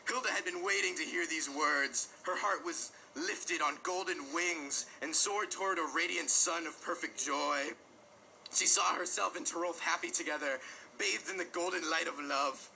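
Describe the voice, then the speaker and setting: A man giving a mock-dramatic, overwrought reading of parody romance fiction, with drawn-out emphasis. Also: on the high side, gravelly, bright, forceful tone, slight background noise, poor audio. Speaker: reader of a comic dramatic reading.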